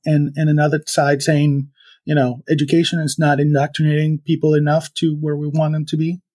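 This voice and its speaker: Husky guy from venezuela on a podcast, lots of ums and restarts, kinda high voice. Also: monotone, mellow. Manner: halting, many fillers and restarts. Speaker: podcast guest. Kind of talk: reflective conversational interview.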